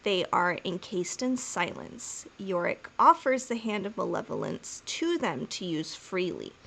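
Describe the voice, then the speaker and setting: Bright-voiced woman podcast co-host. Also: medium pitch. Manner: laughing, joking, rambling. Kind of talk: casual chat and episode summary.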